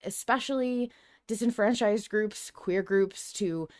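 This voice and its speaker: A woman hosting a podcast, talking casually in an expressive, reflective way. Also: medium pitch, takes it slowly, no echo, studio quality. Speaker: podcast host.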